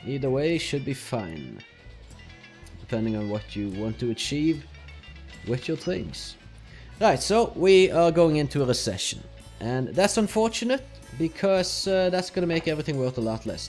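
A young man from Norway with a Norwegian accent, speaking English as a second language while casually commenting on his gameplay step by step. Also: fairly high pitch. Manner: relaxed, explaining step by step with fillers. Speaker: let's play host.

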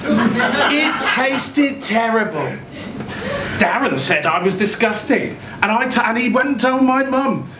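Man, live dramatic story reading, naive child character, hesitant stammers, roomy sound, clear background noise. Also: sits high. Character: naive, chatty, frightened young child at a party. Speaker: reader at a live short story event. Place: small indoor event room. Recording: roomy, distant camera recording with loud noise.

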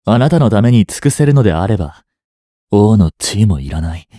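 A young man in his twenties playing a treacherous, smooth-tongued captive prince and spy in a Japanese audio drama, with courteous, theatrical speech that can turn mocking. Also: lowish voice, very animated, mellow, no echo, studio quality. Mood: earnest pleading. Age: 20s. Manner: slow, theatrical, courteous speech slipping into taunts. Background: background music.

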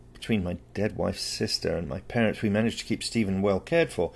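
A man's voice: sits low, vocal fry, slightly rough. A man reading a first-person story as scripted narration. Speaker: story reader.

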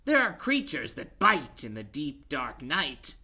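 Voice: medium pitch, huge pitch swings, a little slow, sharp tone, breathy edge, voice pushed up, exaggerated voice. A storytime reader voicing a bossy skeleton telling a baby mummy to go to bed. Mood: scolding. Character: skeleton named Bones, bossy, bedtime nagging.

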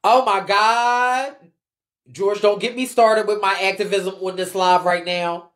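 A woman's voice: medium pitch, steady tone, unhurried. A woman ranting off the cuff on a livestream, blunt and emphatic, swearing freely in heavy slang and repeating phrases to drive points home. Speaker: livestream host, ballroom scene commentator. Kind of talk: unscripted livestream rant.

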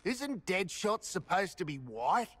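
An actor playing a cocky, crude rogue villain in a video game, brash and taunting, with an Australian accent. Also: male voice, high-pitched, big pitch swings, a little slow, fairly bright tone, no echo, heavily acted. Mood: snide. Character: cocky, crude male rogue supervillain prisoner. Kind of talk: video game cutscene acting.

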